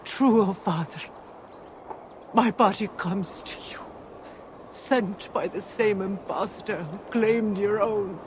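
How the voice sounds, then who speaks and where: A woman's voice: mid-range voice, background noise, lightly acted. A woman playing a sorrowful, resigned giant in a video game cutscene, speaking solemnly. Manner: slow, solemn.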